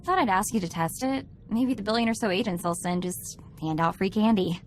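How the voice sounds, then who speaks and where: A woman's voice: medium pitch, fairly quick, slight background noise, close to an everyday voice. An actress playing a plucky, sarcastic young female sidekick in a video game, casual and wry. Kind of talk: video game cutscene acting.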